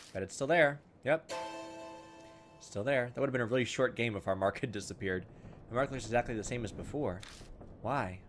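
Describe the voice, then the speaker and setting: A man hosting a let's play, reading game text aloud and adding animated, casual asides. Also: medium pitch. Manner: animated, casual asides between read-out lines. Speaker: gameplay host. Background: game music.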